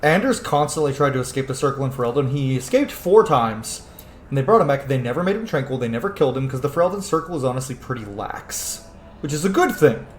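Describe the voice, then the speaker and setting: A man's voice: medium pitch, big pitch swings, fast talker. A man giving casual, opinionated game commentary as he plays, swearing freely. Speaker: gaming streamer playing and commenting. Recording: roomy echo with faint noise.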